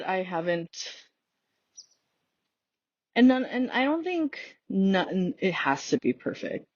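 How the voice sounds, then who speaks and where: A woman whose first language is Tagalog hosts her own podcast while out on a walk, and she talks in a loose, rambling way full of fillers. Her voice is husky and unhurried. The recording sounds dull and lo-fi. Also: low-pitched, a little mellow, no echo. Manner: rambling, chatty, many fillers and restarts. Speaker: podcast host. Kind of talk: casual solo podcast talk. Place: outdoors on a walk.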